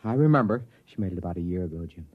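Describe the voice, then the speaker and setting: A man's voice: low voice, animated, very fast, slightly rough. A man playing a concerned, firm father and scientist in a 1950s radio drama. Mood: puzzled, probing. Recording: muffled vintage 1950s radio, faint music.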